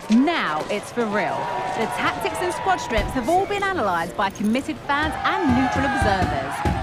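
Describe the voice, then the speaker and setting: A woman's voice: on the high side, very noisy. A woman playing an eager TV football commentator in a video game, giving emphatic, dramatic scripted pre-match build-up.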